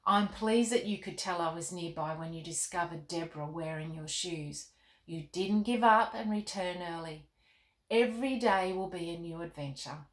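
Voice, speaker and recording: A woman reading the part of a gentle, wise, fatherly storybook king in a calm, measured, reassuring way. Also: lowish voice, natural voice. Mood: calm, kindly. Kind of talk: storybook read-aloud. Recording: roomy, echoing indoor sound.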